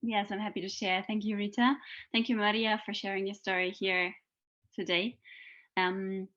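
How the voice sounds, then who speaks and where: A woman panel guest introducing herself politely and quickly over a video call. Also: mid-range voice, little pitch movement, fairly bright tone. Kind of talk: online panel discussion. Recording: dull lo-fi video call audio.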